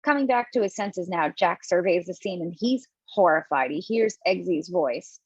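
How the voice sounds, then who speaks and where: A woman's voice: fairly low pitch, animated, normal speed, a little mellow, husky, no room sound. A woman reading stage directions in scripted narration at a table read, now and then stumbling over words. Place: remote online table read. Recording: dull, dry lo-fi call audio.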